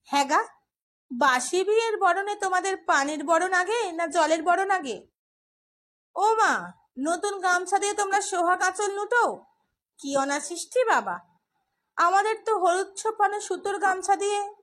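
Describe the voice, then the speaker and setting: A woman's voice: very high voice, lively intonation, measured pace, long pauses, fairly bright tone, full character voice. A woman voicing a nagging young village woman who fusses and fires off questions. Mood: fussy, exasperated. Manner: fussy, complaining.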